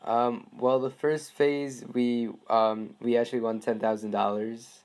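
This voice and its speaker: A man's voice: medium pitch, flat delivery, a little slow, darkish tone. Polite teenage male student interviewer. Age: teens. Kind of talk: polite, informal phone interview. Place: phone call.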